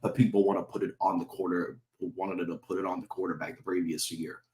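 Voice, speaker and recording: Low-voiced man with a sore throat, ranting. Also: flat delivery, fairly quick, darkish tone, roomy sound. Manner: heated, profane, repetitive rhetorical questions. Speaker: sports channel host. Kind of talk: ranting fan commentary to camera.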